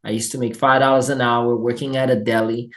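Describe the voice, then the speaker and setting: A man's voice: medium pitch, flat delivery, brisk, fairly bright tone. Upbeat man with a Haitian accent. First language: Haitian Creole and French. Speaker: guest. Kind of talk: casual interview storytelling.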